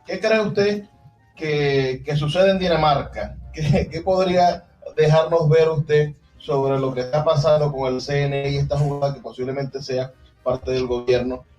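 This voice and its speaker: A man's voice: fairly high pitch, fairly even intonation, unhurried, a little mellow, recorded from a distance, low-quality recording. A man moderating, putting questions in a spontaneous, searching way. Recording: live event, off mic, faint music.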